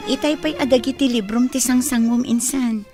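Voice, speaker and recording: A woman's voice: a little high, normal speed, over music, lightly acted. A woman with an Ilocano accent playing a cautious, loyal cousin in a radio drama, asking worried questions.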